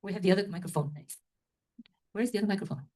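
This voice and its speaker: A woman's voice: medium pitch, fast talker, dark tone. A woman moderating an academic event's discussion, rambling and often checking in with "right?". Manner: rambling, tags remarks with "right?".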